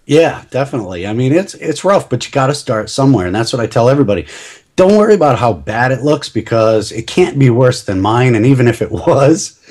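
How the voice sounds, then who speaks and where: A man's voice: mid-range voice, big pitch swings, fairly quick, a little mellow. A middle-aged man talking casually as a podcast guest, chatty and self-deprecating, laughing at himself often. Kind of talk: casual interview chat.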